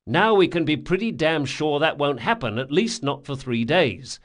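A man's voice: a little high, animated, moderate pace, voice pushed up, close to an everyday voice. A man voicing a sardonic, menacing male rogue in an audiobook, speaking with emphatic sarcasm. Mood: bitter sarcasm.

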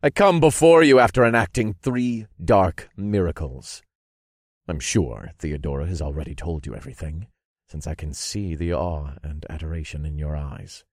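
A man voicing a triumphant dark lord in an audiobook, speaking in grandiose, commanding declarations. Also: extremely low pitch, steady tone, moderate pace, husky, no echo, studio quality, full character voice.

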